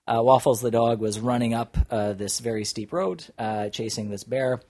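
A man co-hosting a podcast, telling a rambling story with lots of uh fillers and a deadpan delivery. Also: mid-range voice, normal speed, no room sound. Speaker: podcast co-host. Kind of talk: casual storytelling chat.

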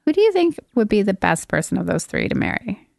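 Female co-host, creaky mid-pitched voice, blunt and bawdy casual banter. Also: very animated, fast talker, a little mellow, dry recording, clean studio sound. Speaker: podcast co-host. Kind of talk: casual comedic chat.

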